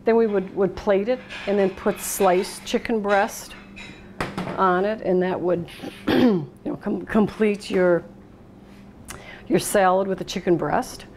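A woman's voice: medium pitch, slow pace, darkish tone. A middle-aged American woman hosting a casual cooking demonstration, talking unhurriedly through each step as she works. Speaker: cooking show host. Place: indoor kitchen, steel worktop. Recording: clattering dishes, noisy kitchen room sound.

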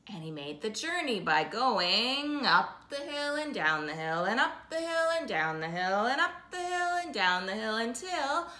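A woman's voice: fairly high pitch, pushed and bright. A woman in her thirties telling a story to young children in a sing-song, rhythmic way, drawing out her words. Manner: slow, sing-song, repetitive rhythmic phrasing with drawn-out words. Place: living room, indoors. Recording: roomy indoor echo.

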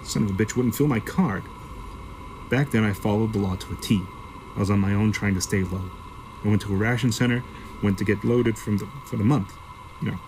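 Guy acting a jaded sci-fi organ dealer, lowish voice, gruff and sweary, bit of noise behind. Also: brisk, darkish tone, natural voice, poor audio. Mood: matter-of-fact, jaded. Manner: gruff, profane, offhand storytelling. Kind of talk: sci-fi audio drama acting.

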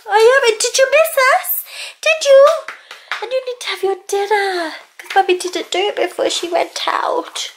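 A woman's voice: very high voice, moderate pace, gravelly. A playful, giggly woman chatting casually as a mother in a family home video. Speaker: mother filming a family vlog. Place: home kitchen, indoors. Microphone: handheld camera mic at arm's length. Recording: noisy handheld home recording with clicks.

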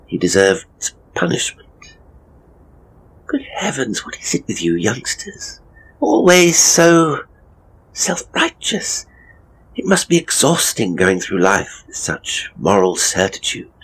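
A man's voice: low voice, breathy edge, full character voice, rough recording. A man playing a hapless, pompous middle-aged ghost tour operator in a horror audio drama, with a British accent and a grumbling, theatrical, wordy delivery. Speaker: ghost tour guide and storyteller.

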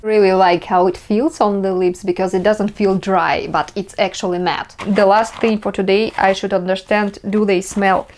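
A woman's voice: medium pitch, fairly even intonation, moderate pace, few pauses, a little mellow, a little hiss or hum. Young russian woman doing an unboxing haul in her bedroom, chatty and amazed at everything. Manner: chatty, marvelling at each item. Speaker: vlogger. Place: small bedroom, indoors.

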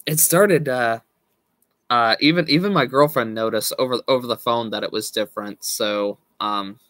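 A man in his thirties chatting casually with viewers on a live stream from a small room at home, slowly losing his voice and speaking in halting restarts. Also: mid-range voice, a bit bright, no echo, clean studio sound. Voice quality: slow, halting, with frequent restarts and laughs. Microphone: webcam at desk.

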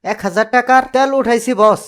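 A man's voice: very high-pitched, a little fast, fairly bright tone, clean tone. A man voicing a hapless, literal-minded getaway driver in a cartoon, whining and talking back. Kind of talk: animated cartoon voice acting.

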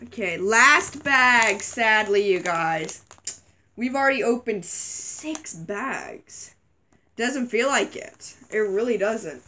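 A young woman vlogger chatting casually while unboxing toys, a little sick, her voice wearing thin, squealing excitedly at surprises. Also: fairly high pitch, slow. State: slightly sick, voice going. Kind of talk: casual toy unboxing chat. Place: dim bedroom, indoors.

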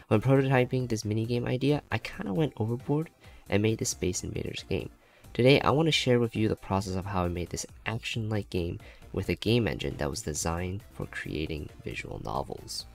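A man with a fairly low voice and a noticeable creak reads a scripted tutorial in the role of a game developer presenter. He is recorded as a close voice-over, with soft music playing behind him. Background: faint background music.

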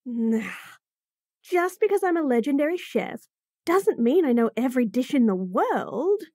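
A woman's voice: sits high, sing-song, measured pace, dark tone, no room sound, studio quality. A woman voicing a bratty, sassy bunny-girl chef in a fantasy roleplay audio, teasing and talking straight to the listener. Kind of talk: F4M roleplay audio, acted monologue.

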